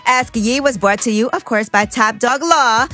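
A woman hosting a radio advice segment, brisk and reassuring in a casual chat. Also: a little high, pushed and bright, faint music behind. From New York. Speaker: radio show host.

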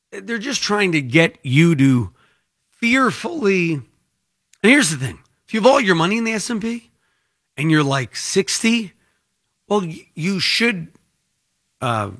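Guy doing money talk show, sharp bright voice, slow and rambly, kinda thinking out loud. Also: a little high. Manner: rambling, conversational, thinking aloud. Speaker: financial advice show host. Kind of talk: unscripted financial talk.

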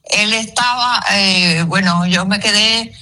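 A woman's voice: mid-range voice, takes it slowly, pushed and bright. An older woman, the host's mother on the phone, answering in a giggly and affectionate way.

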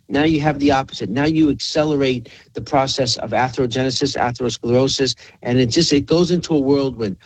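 A man's voice: mid-range voice, darkish tone, no room sound, poor audio. A man hosting a health radio show, explaining in an emphatic way and repeating phrases for effect. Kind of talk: health talk radio with product pitch.

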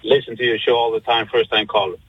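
Man, mid-fifties, from Cuba, radio caller, husky mid-pitched voice, dull phone sound, friendly, rambling. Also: little pitch movement, fast. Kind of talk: casual phone-in chat.

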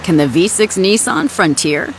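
A woman's voice: on the low side, lively intonation, moderate pace, noisy background. A woman voicing a radio ad in an upbeat, questioning way. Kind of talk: radio ad voiceover.

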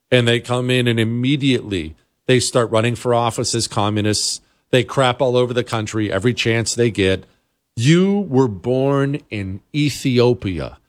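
A man hosting a political commentary show, delivering an opinionated monologue in a scornful, sarcastic manner. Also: medium pitch. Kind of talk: opinionated monologue commentary over played clips.

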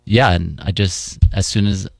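Deep gravelly man from Hawaii. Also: a little slow, no echo, studio quality. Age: 40s. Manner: relaxed, thoughtful, with many fillers. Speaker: guest, paramedic supervisor. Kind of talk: casual interview in studio. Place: radio studio.

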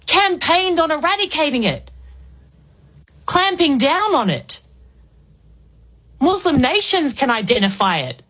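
Australian woman, 40s, talk radio host; emphatic rhetorical delivery, fairly high voice. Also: a bit bright, breathy edge. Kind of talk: political talk radio monologue.

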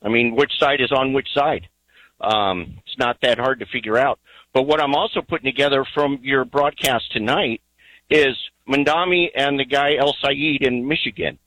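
A man's voice: medium pitch, moderate pace, fairly bright tone, dry recording, poor audio. A man calling in to a talk radio show, earnest and rambling, with many ums. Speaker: caller.

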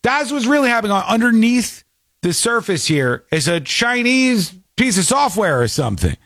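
Man, streamer host, mocking, animated, high-ish voice, dry sound. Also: normal speed, dry recording. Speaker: streamer host reacting to clips. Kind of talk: casual live-stream commentary.

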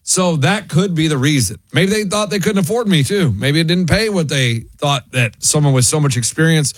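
A man's voice: on the high side, expressive, normal speed, no echo. A man in his forties co-hosting a radio show, joking about himself in casual banter. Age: 40s. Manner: self-mocking, joking. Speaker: radio co-host.

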